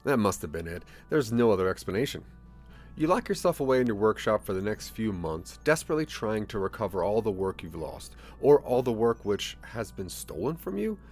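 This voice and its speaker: A man with a fairly low voice narrates a scripted story to the listener. His intonation is lively and varied, in the style of audio drama storytelling. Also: brisk, faint music behind.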